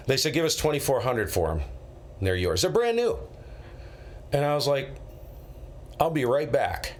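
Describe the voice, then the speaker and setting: A man's voice: medium pitch, very animated, normal speed, slight background noise. A middle-aged American man hosting a live stream, telling rambling anecdotes in a casual, conversational way and acting out bits of dialogue.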